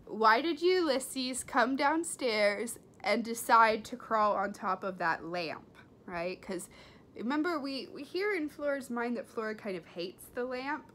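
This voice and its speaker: A woman reading a story aloud in an expressive, animated and deliberate way. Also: fairly high pitch, slight background noise. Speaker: storybook reader. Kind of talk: expressive read-aloud narration.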